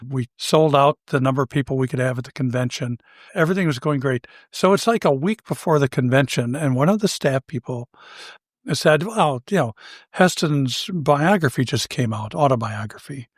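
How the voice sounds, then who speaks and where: An older man with a somewhat high-pitched voice, co-hosting a talk show and telling a personal anecdote in a relaxed, self-deprecating way, chuckling now and then. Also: normal speed, no echo, studio quality. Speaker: podcast co-host. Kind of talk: conversational storytelling.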